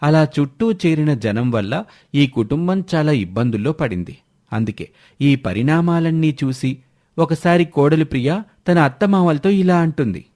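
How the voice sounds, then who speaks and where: A man's voice: fairly high pitch, lively intonation, moderate pace, a little mellow. Male moral-story narrator.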